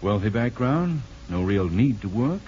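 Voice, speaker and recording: An actor in a 1950s radio drama playing a calm, kindly hospital doctor with a measured, gently probing manner. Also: male voice, on the low side. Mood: calm, explanatory. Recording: muffled, noisy old radio broadcast.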